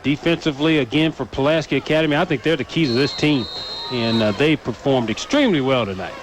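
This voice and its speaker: An American man giving explanatory, measured football analysis as a radio color commentator. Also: mid-range voice. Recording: radio broadcast with faint background noise.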